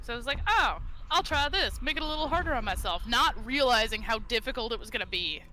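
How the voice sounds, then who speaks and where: A woman's voice: fairly high pitch, animated, fast, pushed and bright, slight background noise, low-quality recording. A woman livestreaming a game and chatting casually with a group, with music behind her. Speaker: livestreamer playing games. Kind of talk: casual group chat while gaming.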